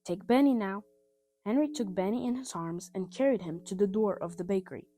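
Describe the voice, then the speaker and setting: A woman reading a scripted children's story aloud in an expressive, simple style, with music behind her. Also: mid-range voice, fast. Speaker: storybook reader.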